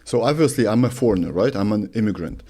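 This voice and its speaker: A man from Lithuania, hosting an interview in earnest, slightly halting English. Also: on the low side, expressive, dark tone. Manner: earnest, slightly halting, non-native phrasing. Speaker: host. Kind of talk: interview questions.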